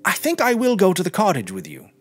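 A man's voice: high voice, huge pitch swings, quick pace, slightly rough, soft background music, voice pushed up, exaggerated voice. A man voicing an inquisitive young seafarer in a story reading, questioning and insistent. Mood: probing, surprised.

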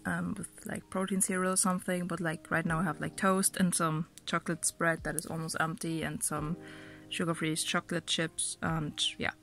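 A woman's voice: on the low side, little pitch movement, normal speed, a bit bright, faint music behind. A young woman vlogging casually from a small bedroom, hesitant and chatty with many fillers, sounding a little sick. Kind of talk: casual talk to camera and food voice-over.

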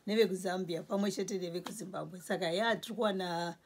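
A woman's voice: fairly low pitch, fairly even intonation, slight background noise. A woman in her forties with a Zimbabwean accent, a store owner talking casually about her grocery shop. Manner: lists goods slowly while showing shelves. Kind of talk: casual interview in Shona. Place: small indoor grocery store.

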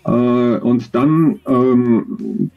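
A man's voice: mid-range voice, drawn-out words, vocal fry, phone-quality sound, faint music behind. A middle-aged man giving thoughtful interview answers, hesitant and often searching for words as he explains. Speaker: interview guest, audio drama author. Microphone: video call, close to webcam.

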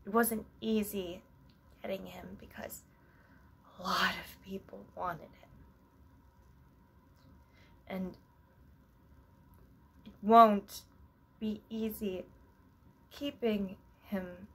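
Slow, halting, anxious young woman, acted by a woman. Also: medium pitch, fairly even intonation, vocal fry, slight background noise. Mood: uneasy, reflective. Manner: halting, reflective, with long pauses. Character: earnest, anxious young female oboist, wistful and self-doubting. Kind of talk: theatrical stage monologue.

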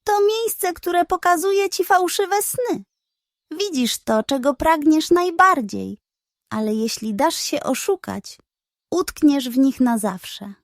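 A woman's voice: high-pitched, expressive, takes it slowly, no room sound, clean studio sound, pitched above the performer's own voice, full character voice. A woman voicing a tall, glowing male guardian of dreams in a children's storybook, solemn, watchful and commanding. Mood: warning.

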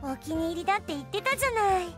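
A woman playing an overprotective young female friend in a radio drama, nagging briskly and caringly. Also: extremely high pitch, animated, over music. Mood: bossy, fussing. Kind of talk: Japanese anime-style radio drama acting.

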